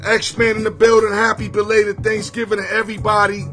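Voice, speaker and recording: Hoarse, high-voiced man hosting a livestream. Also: fairly quick, soft background music. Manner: energetic, greets viewers by name, street slang. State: hoarse, losing his voice. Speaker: sports picks livestream host. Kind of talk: casual livestream chat. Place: home room full of sports memorabilia with a TV. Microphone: phone or webcam at arm's length.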